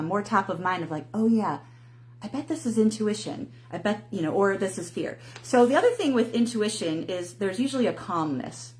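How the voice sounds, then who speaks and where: A middle-aged woman talks casually to her live viewers, and her pitch swings widely from phrase to phrase. She is animated, laughs often, and acts out worried inner thoughts in different voices. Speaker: host, intuition coach.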